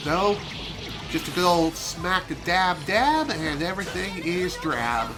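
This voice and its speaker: A man streaming a video game, chatting casually and rambling with self-amused asides, his voice a little hoarse from allergies. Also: sits high, animated, slow. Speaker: gaming streamer. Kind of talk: casual let's play commentary. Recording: game music behind voice. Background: game music.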